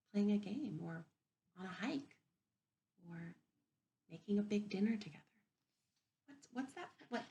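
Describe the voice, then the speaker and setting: A woman reading a picture book aloud to young children as a teacher, expressively, pausing to wonder aloud and ask them questions. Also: medium pitch, creaky. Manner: expressive, wondering aloud, inviting listeners to reflect.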